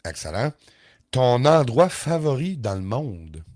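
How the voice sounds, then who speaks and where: A man's voice: medium pitch, sing-song, takes it slowly, darkish tone, no room sound, studio quality. A man from Montreal with a Quebec French accent, hosting a podcast in casual interview chat. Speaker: podcast host.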